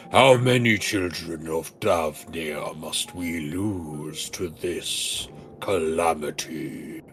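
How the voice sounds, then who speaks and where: Great game dragon, male performer: low, grave, formal, measured, sorrowful nobility. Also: a bit bright, faint music behind, heavily acted. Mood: grieving, grave. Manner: solemn, formal, measured storytelling delivery. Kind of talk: fantasy game dialogue read aloud.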